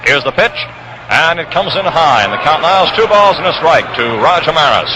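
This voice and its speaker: An American man calling baseball play-by-play on 1960s radio, measured, with suspenseful pauses, on a noisy old broadcast recording. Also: a little high, very animated. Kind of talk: 1960s radio sports commentary. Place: ballpark broadcast position. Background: crowd hum, noise.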